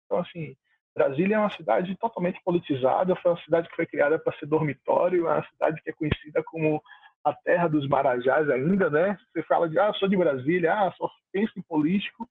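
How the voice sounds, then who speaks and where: A man's voice: on the high side, fast talker, slightly rough, no echo, phone-quality sound. A man from Salvador, a music producer talking in an online panel, heartfelt and rambling with many fillers. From Salvador, Bahia, Brazil. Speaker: music producer, debate guest.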